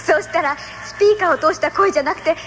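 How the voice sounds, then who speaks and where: High-voiced gentle young mother. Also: racing, noisy background. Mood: hopeful. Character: gentle, supportive young wife and mother, space settler. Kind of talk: dramatic radio drama acting.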